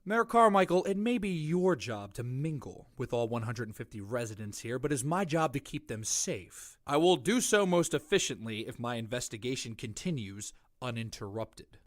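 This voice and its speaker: A man's voice: mid-range voice, sing-song, moderate pace, few pauses, no room sound, close to an everyday voice. A man playing a dutiful, sarcastic sheriff in an audio drama, formal and terse. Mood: businesslike. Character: gruff, businesslike male sheriff, sarcastic and dutiful.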